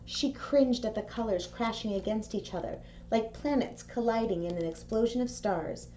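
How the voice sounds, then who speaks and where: A woman presenting for a school, speaking in clear phrases to families and children as she gives announcements and reads aloud. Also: a little high, normal speed, mellow. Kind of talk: video announcements and picture-book read-aloud. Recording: dull audio with faint noise.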